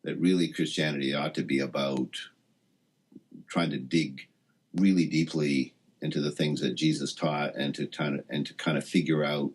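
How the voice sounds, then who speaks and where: A man speaking as an interview guest, reflective and thoughtful, forming his ideas in halting phrases. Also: extremely low pitch, steady tone, husky.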